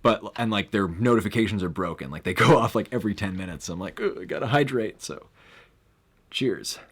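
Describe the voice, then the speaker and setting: A young man hosting a casual live stream, rambling with lots of fillers and bursts of excitement. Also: lowish voice, a little fast, husky.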